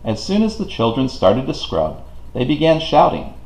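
A man narrates a picture book for small children. His delivery is highly animated, with deliberate phrasing and wide swings in a medium-pitched voice. The room adds a noticeable echo. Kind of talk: expressive read-aloud storybook narration. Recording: roomy, echoing home recording.

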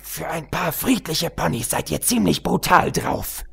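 A man's voice: fairly high pitch, measured pace, vocal fry, a bit bright, slightly rough, voice pushed up, heavily acted. A man voicing a haughty, spiteful insect-pony queen, a menacing storybook villain.

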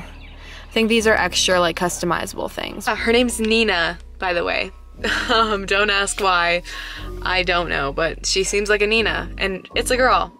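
A woman's voice: on the low side, pushed and bright. A young woman giving a casual, bubbly tour of her car, filming with a handheld phone while sitting in the parked car. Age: young adult. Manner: chatty, bubbly, giggly. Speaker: vlogger. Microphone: handheld phone camera.

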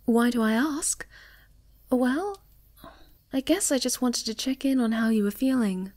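A woman playing a sweet, affectionate, polite moth monster girl in an intimate ASMR roleplay, gentle and often dropping toward a whisper. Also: on the high side, measured pace. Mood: affectionate, playful. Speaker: voice actor in an audio roleplay.

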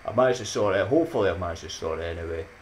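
A deep-voiced Scottish man in his thirties talks into a desk mic in a small room at home. He rambles in a loose, upbeat way, laughs at his own jokes and slips in motivational sayings. A faint hiss sits under the voice. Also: animated, rapid-fire. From Scotland. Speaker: YouTuber. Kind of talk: casual chatty vlog outro.

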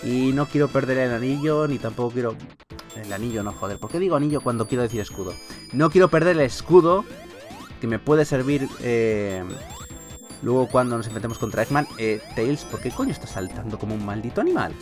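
A man's voice: medium pitch, animated, fairly quick. Chatty Spanish man over game music. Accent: Peninsular Spanish. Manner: chatty, joking, mildly sweary. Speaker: gaming video host. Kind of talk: casual Let's Play commentary. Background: video game music.

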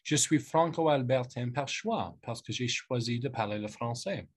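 A man in his thirties with an English-speaking Canadian accent delivers a formal welcome over a video call from an indoor office. English is his first language, so he speaks French haltingly and stops often to search for words. His pitch sits in an ordinary middle range for a man. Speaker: politician hosting a youth panel. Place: office with lamp and bookshelf, indoors. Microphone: webcam on a video call.

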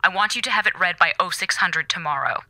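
An actress playing a stern, by-the-book space station commander, clipped, commanding and sardonic, in a radio drama. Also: female voice, lowish voice, quick pace, bright, forceful tone, dry recording. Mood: stern, threatening.

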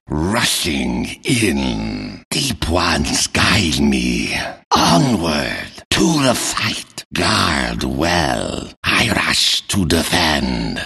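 A man voicing a menacing, grim and proud deep-sea fish-man guard for a video game, in a raspy, growling voice with a forceful delivery. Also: exaggerated voice. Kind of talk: video game character voice lines.

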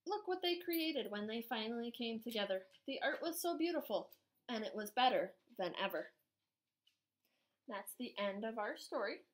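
A woman in her thirties, an art teacher, reading a children's storybook aloud indoors with expressive, measured delivery. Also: a little high.

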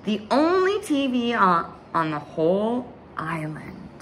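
A young woman reading a children's picture book aloud in an expressive, dramatic way, drawing out words and dropping into hushed asides. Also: mid-range voice, slow pace, a little hiss or hum. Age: young adult. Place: small bright room indoors at home.

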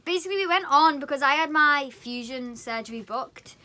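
A young woman chatting loudly and at length with friends in a small bedroom, close to the camera. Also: sits high, lively intonation, fairly quick. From Merseyside, England. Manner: loud, chatty, talks a lot. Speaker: vlogger, dancer. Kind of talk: casual group chat. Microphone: camera close in front.

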